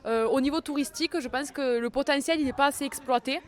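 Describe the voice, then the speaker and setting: A woman's voice: high-pitched, quick pace. A young woman from Ariège with a southern French accent, answering interview questions hesitantly with many fillers and restarts. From Ariège, France.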